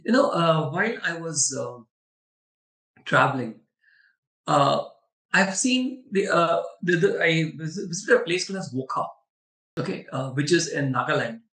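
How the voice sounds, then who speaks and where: A man's voice: fairly high pitch, lively intonation, unhurried, long pauses, sharp tone. A man with an Indian accent speaking as a webinar panelist, telling examples emphatically and checking in with listeners as he goes. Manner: emphatic, storytelling with rhetorical check-ins. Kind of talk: conversational question-and-answer talk. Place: online video webinar. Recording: roomy echo, distant from the mic.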